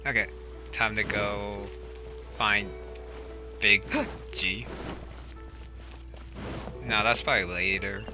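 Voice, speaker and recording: A man giving casual gameplay commentary, low-key, as if muttering to himself. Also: fairly even intonation, takes it slowly, pauses often. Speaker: game streamer. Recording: game music behind the voice.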